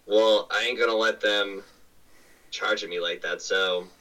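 A man playing a tabletop game, talking in a slow, folksy drawl. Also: on the low side, steady tone, sharp tone, far from the mic, low-quality recording. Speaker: player. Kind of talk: casual tabletop play.

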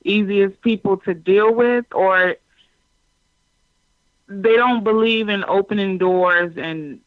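A woman's voice: mid-range voice, slow pace, no room sound. Young woman on dull call audio. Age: 20s. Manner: earnest, explains at length. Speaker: guest. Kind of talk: casual chat.

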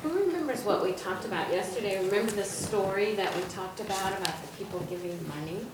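A woman's voice: mid-range voice, quick pace. A woman leading a children's message at church, speaking simply and prompting the group with questions and recaps. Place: large indoor church space during a service. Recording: echoey, noisy church recording from far off.

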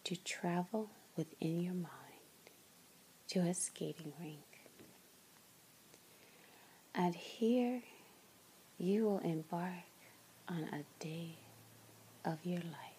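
A woman leading a guided meditation in a soft, breathy, largely whispered voice, soothing and gentle, with long pauses. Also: on the low side, slight background noise, low-quality recording.

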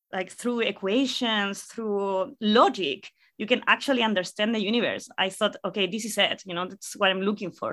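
Female guest scientist, 30s, Chilean accent, bright tone, reflective chatty delivery. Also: medium pitch. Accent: Chilean Spanish. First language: Spanish. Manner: warm, reflective storytelling with fillers. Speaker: guest astrophysicist. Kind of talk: casual interview answers.

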